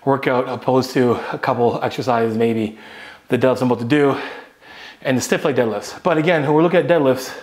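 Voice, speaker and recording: A man in his thirties coaching viewers through a kettlebell leg workout in his small home gym. His voice sits a little high for a man, and he talks in a loose, explanatory way between sets, recorded on a nearby camera mic. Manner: relaxed, explanatory, talks while exercising. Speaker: fitness coach. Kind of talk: casual workout instruction.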